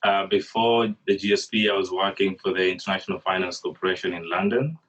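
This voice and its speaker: A young man from Kenya with a very deep, husky voice answers panel questions in a flat, measured way. The video-call audio is dull and sounds a little far from the mic. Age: young adult.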